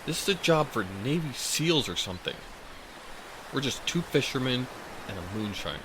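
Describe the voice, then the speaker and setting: A man's voice: mid-range voice, very animated, moderate pace, background noise, lightly acted. A man acting in an audio drama as a skeptical, frightened fisherman, protesting sardonically. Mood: worried, sardonic. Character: skeptical, frightened fisherman arguing against a dangerous plan.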